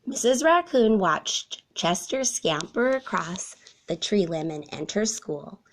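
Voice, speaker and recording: A woman narrating a children's story aloud in a soft, deliberate storytelling manner. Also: mid-range voice, lively intonation, rough recording. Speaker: storybook reader.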